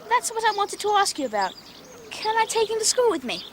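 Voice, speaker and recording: A woman's voice: very high voice, big pitch swings, a little fast, a little hiss or hum. A woman playing a cocky, teasing Victorian schoolboy in a radio drama, breezy, with an English accent. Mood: breezy, mocking. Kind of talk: 1990s British radio drama acting.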